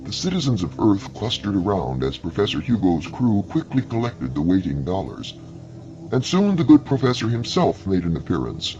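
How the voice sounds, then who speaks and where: A man tells a story as a dramatic narrator. His voice sits fairly low and moves through wide swings of pitch, with music behind it. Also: very animated, moderate pace, darkish tone, highs cut off.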